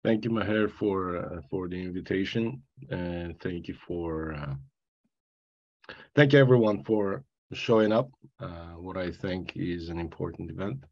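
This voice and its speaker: A middle-aged man from Armenia giving a panel talk, halting with many fillers and restarts, with dry asides. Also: low voice, muffled recording. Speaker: panel guest speaker.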